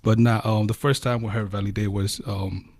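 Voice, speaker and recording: A man's voice: on the low side, flat delivery, moderate pace, a little mellow. A man co-hosting a podcast, talking casually with frequent hesitations. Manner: hesitant, many "um"s. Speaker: podcast co-host. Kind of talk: casual chat.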